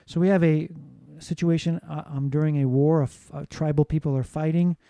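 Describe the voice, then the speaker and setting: A man's voice: a little high, fairly even intonation, moderate pace, dark tone, no echo. A man from the United States giving a classroom lecture on phonetics, explaining steadily and often asking "right?" to check in with students. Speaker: English teacher.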